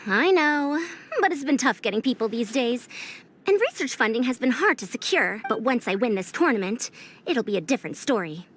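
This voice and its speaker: A woman's voice: on the high side, expressive, normal speed, slight background noise, lightly acted. A woman voicing a proud, youthful-sounding senior scholar in a video game, with pompous, theatrical, old-fashioned formal diction. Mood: friendly, lofty. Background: music.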